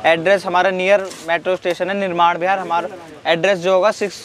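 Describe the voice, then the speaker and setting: A man's voice: high voice, flat delivery, quick pace. A man, presenting products as a salesman in a matter-of-fact, repetitive way. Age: 20s. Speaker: clothing shop salesman. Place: clothing warehouse stockroom. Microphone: lavalier on T-shirt.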